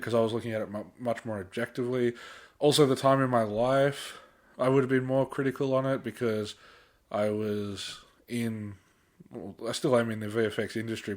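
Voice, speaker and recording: Young man chatting on a podcast. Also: on the low side. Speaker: podcast co-host. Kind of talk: casual film discussion.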